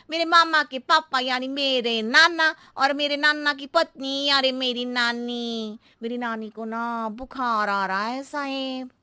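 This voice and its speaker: A woman's voice: dry recording, exaggerated voice. A woman voicing a scatterbrained, chatty housemaid who answers everything in roundabout riddles, in a cartoon story. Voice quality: very high, shrill and bright. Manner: roundabout, playful, cheeky pleading.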